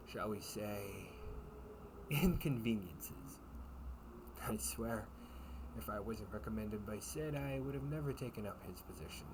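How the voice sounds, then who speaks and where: Man acting a male knight captain, kindly mentor, gently teasing, courtly wording, mid-pitched, slow pace, audio roleplay. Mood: relaxed, confiding. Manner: courtly, old-fashioned phrasing with gentle teasing. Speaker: amateur audio roleplay performer. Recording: clearly noisy home recording with ambience.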